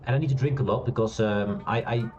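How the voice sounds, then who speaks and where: A man streaming a space game, chatting loosely with many fillers and restarts, laughing now and then. He has a loud voice. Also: on the low side, quick pace, highs cut off. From Europe. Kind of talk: casual gameplay commentary. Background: quiet game music.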